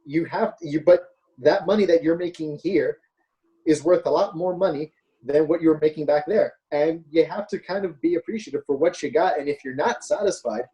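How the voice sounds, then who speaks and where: A man's voice: fairly high pitch, dark tone, dull audio. A man co-hosting a political podcast, talking casually and hesitantly with many fillers. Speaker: podcast co-host.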